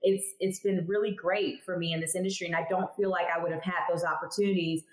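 A woman's voice: fairly low pitch, fairly even intonation, fast talker, roomy sound, poor audio. A woman in her thirties, a chemist guest on a video call, telling her story candidly and emphatically. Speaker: guest, cannabis lab chemist. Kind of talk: casual interview talk. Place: home room, indoors, video call. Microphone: headphones on video call.

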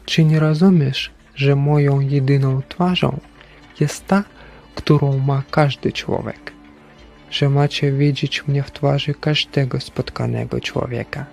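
A man playing a stern, solemn Christ in a religious drama, deliberate and questioning like a preacher. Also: medium pitch, steady tone, gravelly, a little mellow, soft background music. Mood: grave, reproachful. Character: stern, solemn Christ speaking from a broken figurine. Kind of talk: dramatic religious stage-play acting.

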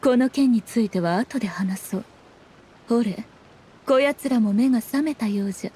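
An actress playing a haughty, teasing shrine priestess in a video game, composed and imperious, with old-fashioned phrasing. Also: female voice, on the high side, moderate pace, warm tone, a little hiss or hum, close to an everyday voice. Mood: coolly commanding. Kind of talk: Japanese video game voice acting.

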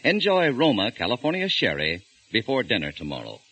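A man announcing a wine commercial in a smooth, salesman-like way on a 1940s radio show. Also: lowish voice, huge pitch swings, a little fast, fairly bright tone, rough recording. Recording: husky 1940s radio broadcast.

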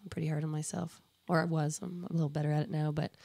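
A woman's voice: sits low, level tone, quick pace, creaky, warm tone, no echo. Laughing, self-mocking woman in her thirties. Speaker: guest musician. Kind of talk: casual radio interview chat. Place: radio studio. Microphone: studio microphone.

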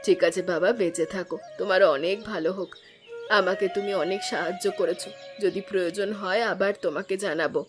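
A woman's voice: lowish voice, steady tone, fairly quick, lightly acted. A woman voicing a kindly, forgiving middle-aged village auntie in a cartoon, with a motherly, caring manner. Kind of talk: Bengali cartoon voice acting. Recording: music behind the voice.

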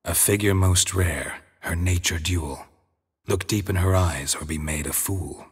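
An actor playing a gruff, world-weary monster hunter in a video game, with a dry, laconic, deadpan delivery. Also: male voice, measured pace, no echo, full character voice. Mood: reciting dryly. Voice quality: low, gravelly rasp. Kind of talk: video game cutscene acting.

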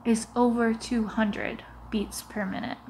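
A young woman playing a sinister, coaxing vampire who poses as a doctor, in a hushed, often whispered, muffled ASMR roleplay. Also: on the high side, fairly even intonation, a little hiss or hum, close to an everyday voice. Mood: falsely concerned. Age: young adult. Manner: slow, hushed, often whispering, soothing, deliberate. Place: living room, lying on a sofa.

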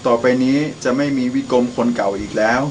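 A man's voice: mid-range voice, fairly quick, a little hiss or hum, natural voice. A young man acting the role of a father, a brash drinker who turns repentant, in a student radio drama. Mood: calm, repentant. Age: young adult. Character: drunken brash father, later a repentant gentle monk.

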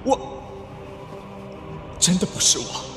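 An actor playing a desperate young spirit hunter pleading earnestly in a costume radio drama. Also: male voice, slow, lightly acted. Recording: echoey hall, music behind.

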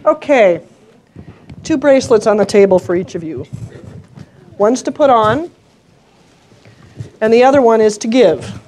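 A woman's voice: fairly high pitch, animated, measured pace, pauses often, dark tone, slight background noise. A middle-aged woman speaking plainly at a podium mic in a conference room, explaining a program to the audience. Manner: plain, conversational, with fillers.

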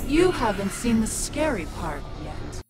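A woman's voice: a little high, sing-song, measured pace. A woman playing an evil, ancient witch in a video game, sinister and mocking. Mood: menacing, mocking. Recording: loud game music underneath.

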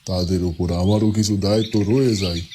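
A man's voice: lowish voice, quick pace, a little hiss or hum, close to an everyday voice. A man voicing an earnest, middle-aged village headman and father in a cartoon fairy tale, musing and hesitant. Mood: relieved, musing. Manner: musing, hesitant, talks to himself. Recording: loud music behind.

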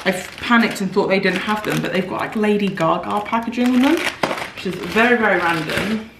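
A young woman vlogging casually to camera, chatty and rambling with lots of fillers, her voice a bit croaky today. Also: mid-range voice, fairly quick, noisy background. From United Kingdom. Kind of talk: casual vlog chat to camera. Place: parked car, then home hallway. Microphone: camera on dashboard, then handheld camera.

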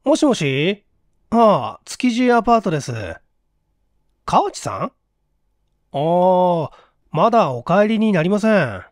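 A man voicing a young, flustered and gossipy apartment clerk in a story reading, with an excitable manner. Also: sits high, slow pace, pauses often, dry recording, studio quality, exaggerated voice. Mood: brisk, polite. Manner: excitable, stammering when teased.

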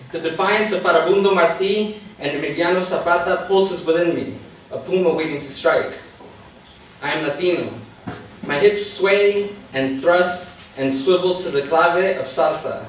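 A young man from Queens, New York, whose first language is Spanish, reading a spoken word poem from paper at an open mic on a small indoor stage. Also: fairly high pitch. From Jamaica, Queens, New York. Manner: reads from paper, relaxed asides before poem. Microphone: far camera, mic on stand. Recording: echoey room from far off, faint hiss.

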